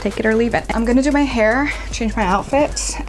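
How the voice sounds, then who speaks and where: A woman of around thirty, talking fast and rambling through a makeup vlog. She is recorded close to a microphone at home. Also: on the high side, over music, rough recording. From born in Hungary, raised in England. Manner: quick, rambling, self-deprecating asides. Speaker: beauty vlogger. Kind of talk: chatty get-ready-with-me monologue. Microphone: microphone close to her.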